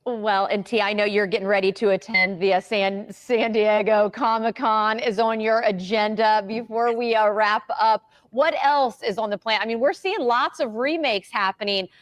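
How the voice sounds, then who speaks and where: A woman's voice: mid-range voice, normal speed, few pauses, no room sound. A woman hosting a morning TV show, upbeat and bubbly, tossing in casual asides as she introduces and questions a guest in the studio. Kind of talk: upbeat TV interview.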